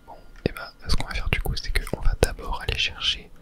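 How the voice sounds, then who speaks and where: A man speaks entirely in a soft whisper, close to the microphone. He murmurs casual video game commentary in an ASMR style, talking gently to his viewers as he plays. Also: fairly quick.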